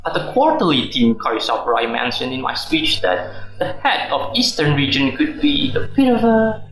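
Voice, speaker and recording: A man's voice: medium pitch, very animated, normal speed, fairly bright tone. A man playing a defensive, flippant middle-aged husband and office worker in a radio drama. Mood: defensive, exasperated. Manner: exasperated, joking, evasive. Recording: roomy echo, music underneath.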